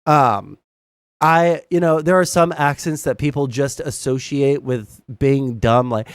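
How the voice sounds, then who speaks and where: A man's voice: mid-range voice, unhurried, smooth, no room sound, studio quality. A young man from Southern Arkansas with a Southern accent, hosting a live stream and giving blunt, rambling commentary full of fillers and swearing. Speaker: streamer host. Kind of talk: casual live-stream commentary reading chat.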